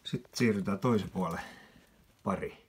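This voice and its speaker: A middle-aged man giving laid-back, casual commentary while he works with his hands in a small garage. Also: deep voice. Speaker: amateur mechanic presenting own repair video. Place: small wooden garage or shed, indoors. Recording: noisy amateur workshop recording, clicks.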